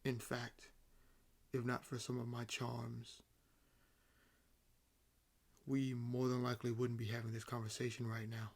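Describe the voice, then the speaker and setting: A man voicing an ancient vampire in an audio roleplay, nonchalant and amused, speaking with wry condescension and theatrical pauses. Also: medium pitch, completely flat delivery, vocal fry, darkish tone. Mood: wry, resigned. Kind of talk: roleplay audio acting, addressing the listener.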